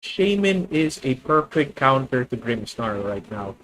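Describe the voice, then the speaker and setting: A young man with a Filipino accent streaming a card game, thinking out loud about his moves, correcting himself and talking to his viewers. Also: mid-range voice, big pitch swings, measured pace, slight background noise, rough recording. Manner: thinking aloud, self-correcting, addresses viewers. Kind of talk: live gameplay commentary.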